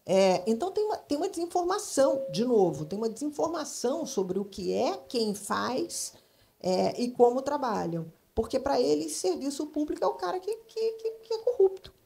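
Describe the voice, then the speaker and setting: A woman's voice: high-pitched, big pitch swings, moderate pace, gravelly, mellow, a little hiss or hum. A middle-aged woman from Brasília, Brazil, speaking emphatically as a panelist in a live discussion. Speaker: panelist, advertising professional. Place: stage at a public event.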